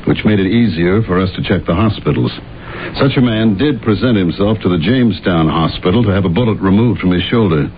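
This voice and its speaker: An actor playing a calm, courteous but skeptical police detective in an old-time radio drama, speaking in a measured, formal way. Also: male voice, deep voice, natural voice. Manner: measured, formal, politely dismissive. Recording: dull, noisy old radio recording.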